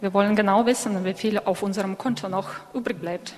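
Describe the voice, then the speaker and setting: A woman interpreting a sermon live, phrase by phrase, somewhat halting as she corrects herself. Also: medium pitch, steady tone. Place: church hall. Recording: echoey hall with long reverb.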